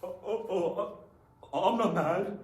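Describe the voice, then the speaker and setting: Woman as lovelorn suitor in a stage drama, earnest and pleading, very slow delivery, echoey room. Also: medium pitch, a little mellow, lightly acted. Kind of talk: readers theatre stage acting.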